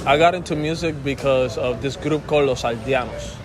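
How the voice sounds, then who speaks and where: A man's voice: medium pitch, a little fast, a crowd in the background. A young man born and raised in Cuba, a musician talking casually as a guest, full of restarts and calling the host bro. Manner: casual, says bro a lot, rambling restarts. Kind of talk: casual interview chat.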